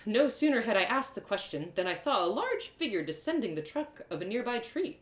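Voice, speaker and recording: A woman reading a first-person fantasy story aloud in expressive storybook narration. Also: mid-range voice, a little fast. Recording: roomy echo.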